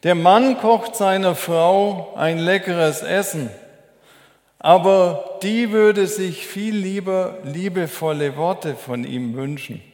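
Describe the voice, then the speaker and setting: A man preaching a sermon as a preacher, in a measured, deliberate, explanatory way. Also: high voice, darkish tone. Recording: echoey hall with long reverb.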